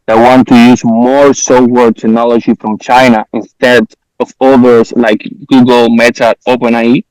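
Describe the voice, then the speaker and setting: A man's voice: mid-range voice, little pitch movement, moderate pace, darkish tone, clean tone, no echo, low-quality recording. A man moderating an online academic conference, relaying questions from the audience to the speaker.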